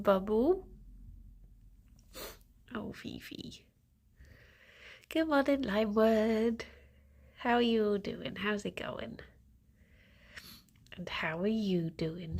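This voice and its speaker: A woman's voice: fairly high pitch, a little mellow. Casual woman streamer, young, stuffy-nosed, giggly and chatty. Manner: chatty, giggly, greets viewers by name. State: congested, stuffy nose. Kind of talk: casual morning chat with viewers.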